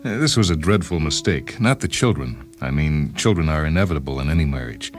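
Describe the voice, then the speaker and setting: Storybook lead: wry, deadpan, wordy male inventor, disgruntled husband, acted by a narrator, very low voice over soft music. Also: brisk. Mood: rueful. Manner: deadpan, wry, fussily verbose. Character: disgruntled, self-justifying inventor, a married time traveller. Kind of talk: first-person dramatised short-story reading.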